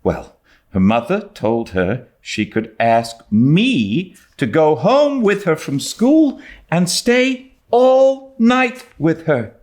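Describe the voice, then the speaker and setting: A man voicing an excitable, talkative young girl who pleads effusively in a storybook reading. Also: on the high side, slow, pitched above the performer's own voice. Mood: excited. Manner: effusive, dramatic, breathless pleading.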